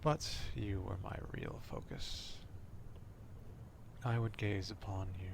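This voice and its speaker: A man playing an obsessive, old-fashioned vampire stalker in an ASMR roleplay, speaking formally in a hushed half-whisper, close to the microphone. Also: fairly low pitch, fairly even intonation, slight background noise. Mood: obsessive, calm. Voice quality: hushed, breathy half-whisper with gravelly fry. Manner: slow, deliberate, formal archaic wording.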